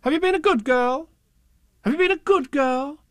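Man playing a high-voiced, put-upon little girl. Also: moderate pace, dry recording. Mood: exasperated. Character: good little girl, put-upon, puzzled by grown-ups' questions. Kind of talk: children's verse recital.

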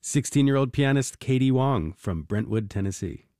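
A man's voice: medium pitch, animated, normal speed, mellow, no room sound, studio quality. A man hosting a music show, interviewing young musicians and introducing guests. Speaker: radio music show host.

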